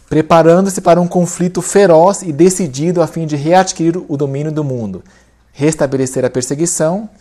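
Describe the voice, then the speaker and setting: A man's voice: on the high side, mellow. A man with a Brazilian Portuguese accent giving a casual religious lecture, talking in a loose, conversational way with many fillers and tag questions.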